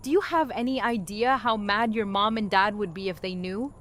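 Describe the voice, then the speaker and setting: A woman playing a tough, exasperated, sharp-tongued scolder in an audio drama. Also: mid-range voice, moderate pace, slight background noise, lightly acted. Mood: angry, alarmed. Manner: sharp, confrontational.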